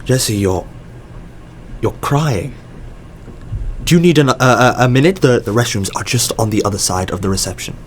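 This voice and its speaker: A man's voice: medium pitch, expressive, normal speed, long pauses, slight background noise, lightly acted. An actor in an audio drama playing a mysterious, encouraging male company boss who is polished, effusive and probing. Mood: reassuring.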